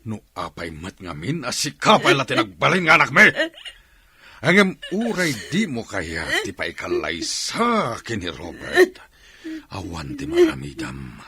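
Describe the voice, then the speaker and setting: A man's voice: medium pitch, huge pitch swings, measured pace, pushed and bright, heavily acted. A man playing a stern, domineering middle-aged father with an overbearing, emphatic way of talking, in a radio melodrama. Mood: angry scolding. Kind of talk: melodramatic radio drama acting.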